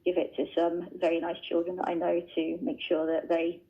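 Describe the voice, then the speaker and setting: A woman's voice: on the low side, monotone, fairly quick, highs cut off. A woman children's author chatting as a radio guest from home, talking freely with lots of fillers and restarts. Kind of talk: casual interview answers. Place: at home, joining remotely. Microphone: remote line into radio studio.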